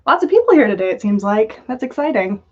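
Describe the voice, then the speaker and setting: A woman's voice: on the high side, very animated, fast. A woman hosting a casual livestream, chatting and joking playfully with her viewers. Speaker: podcast host running a livestream. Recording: dull, lo-fi livestream audio.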